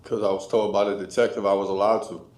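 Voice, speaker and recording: A man's voice: lowish voice, level tone, fast talker, a little mellow. A man with an American accent answering questions in court as a defendant, plain-spoken and defensive. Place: indoor courtroom. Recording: echoey room sound.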